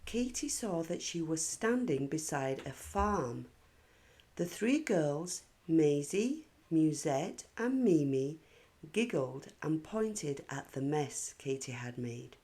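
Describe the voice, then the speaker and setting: A woman's voice: low voice, lively intonation, slow, mellow. A woman hosting and narrating a children's storybook read-aloud.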